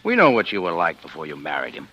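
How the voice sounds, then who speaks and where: An actor playing a wry, skeptical homicide lieutenant in a 1940s radio drama, with a sardonic, needling, colloquial delivery. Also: male voice, deep voice, huge pitch swings, quick pace, pushed and bright, a little hiss or hum, heavily acted. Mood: blunt, probing. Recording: dull, lo-fi vintage radio recording.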